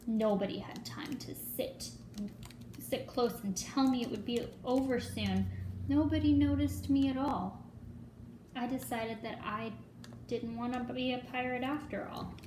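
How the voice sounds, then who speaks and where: A woman giving an expressive dramatic reading of a children's picture book, dropping into hushed asides. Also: fairly high pitch, a little slow. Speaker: storyteller. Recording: echoey room with faint noise.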